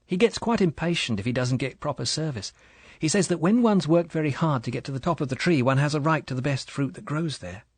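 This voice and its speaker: A man voicing a young, pretty English wife who talks in a soft, chatty, apologetic way. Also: on the high side, animated, a little fast, breathy edge, dry recording, voice pushed up. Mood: eager.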